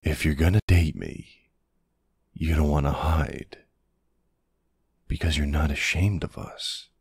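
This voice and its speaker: Guy playing gruff but tender older military bf, asmr roleplay, slow n gravelly, super close dry sound. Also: extremely low pitch, steady tone, no room sound, exaggerated voice. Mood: affectionate. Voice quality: gravelly, husky. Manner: slow, hesitant, soothing. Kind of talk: intimate ASMR boyfriend roleplay.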